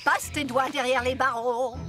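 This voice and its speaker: Shrill, slow, wicked old witch. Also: fairly even intonation, over music. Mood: menacing. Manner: imperious, gloating, drawn-out delivery. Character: wicked, greedy, bossy old fairy-tale witch. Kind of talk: animated fairy-tale dubbing.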